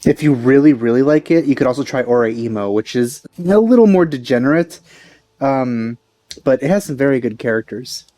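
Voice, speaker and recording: A man's voice: mid-range voice, lively intonation, normal speed, warm tone, dry recording. A man giving casual let's play commentary on a video game. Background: faint game music.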